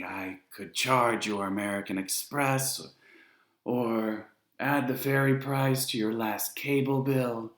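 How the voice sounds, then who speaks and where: Cold, elegant ferryman of the dead, put on by a man, silky and condescending, very slow, foreign lilt. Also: medium pitch, roomy sound, pitched above the performer's own voice.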